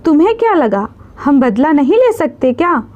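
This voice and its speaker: A woman's voice: high voice, very animated, normal speed, darkish tone, highs cut off. A woman playing a solemn male ghost, vengeful yet grateful, in a dramatic story. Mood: menacing.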